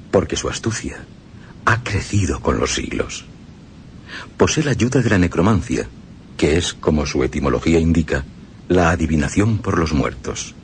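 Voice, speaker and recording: Male reader, Spanish accent; deep, lively intonation, solemn and declamatory. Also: slight background noise. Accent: Spain Spanish. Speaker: reader of quoted texts. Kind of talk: dramatic radio reading. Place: radio studio.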